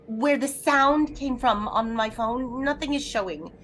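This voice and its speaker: An actress playing an anxious, imperious invalid wife in a throwback radio thriller, agitated, demanding and melodramatic. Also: female voice, a little high, a little slow, a bit bright, a little hiss or hum. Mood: alarmed, flustered. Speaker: lead actress in an audio drama.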